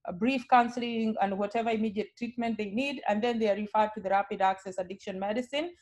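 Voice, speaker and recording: A woman's voice: medium pitch, fast, darkish tone. Kenyan-accented woman presenting on a video call. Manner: friendly, explanatory, with frequent filler sounds. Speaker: nurse educator presenting. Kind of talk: webinar presentation.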